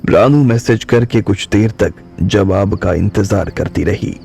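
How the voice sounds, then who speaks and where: A man narrating an audio drama in calm, measured storytelling. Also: lowish voice, creaky. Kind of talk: slow scripted audiobook narration. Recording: soft music bed.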